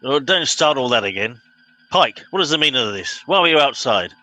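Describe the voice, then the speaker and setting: A man acts a self-important English spaceship captain in a comedy radio play. He is officious and easily exasperated, with a bright, forceful voice over faint music. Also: mid-range voice, lively intonation, fairly quick, muffled recording. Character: pompous, impatient middle-aged spaceship captain. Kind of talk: comic radio play acting.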